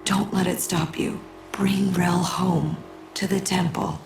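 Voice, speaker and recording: Gravelly, solemn mystic woman. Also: fairly low pitch, flat delivery, takes it slowly, reverberant, over music, exaggerated voice. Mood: urgent, grave. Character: solemn mystic woman guarding a lost child's memory. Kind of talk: dramatic video game acting.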